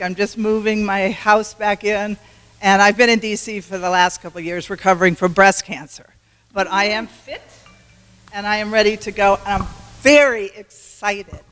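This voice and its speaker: A woman giving a campaign speech to a club meeting, loud and self-assured, with restarts. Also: medium pitch, in a large hall. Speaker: congressional candidate.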